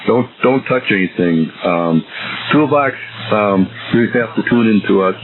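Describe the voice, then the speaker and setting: A man talking casually and technically over CB radio as an operator, with a halting, repetitive delivery. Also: lowish voice, lively intonation. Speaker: CB radio operator helping others tune in. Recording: tinny, static-filled CB radio link.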